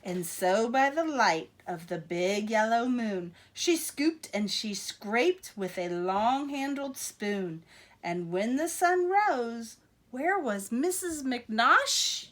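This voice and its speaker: Animated, high-voiced woman reading to children. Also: takes it slowly. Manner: expressive, teacherly, addressing young listeners. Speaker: storyteller reading a picture book to children. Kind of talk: animated children's read-aloud with asides.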